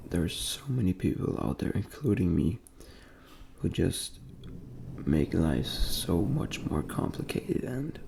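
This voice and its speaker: A young man from Denmark speaking soft, breathy ASMR in a gentle near-whisper, repeating phrases as he talks in a personal, motivational way. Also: sits low, background noise. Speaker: ASMR creator and host.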